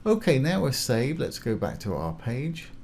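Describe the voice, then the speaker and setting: A man hosting a casual step-by-step software tutorial, informally talking through each action as he goes. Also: medium pitch, sing-song, normal speed. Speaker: tutorial host. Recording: clear background noise, keyboard typing.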